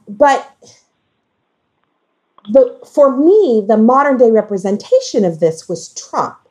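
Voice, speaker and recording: A woman in a casual discussion, speaking haltingly as she thinks aloud and corrects herself. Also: fairly low pitch. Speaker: podcast discussion guest.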